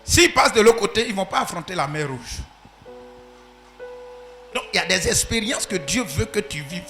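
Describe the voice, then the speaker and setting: Pastor guy preaching, high bright voice, shouts then drops soft, faint music behind. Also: animated, normal speed. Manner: preaching with loud shouted peaks and sudden soft asides. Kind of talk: sermon.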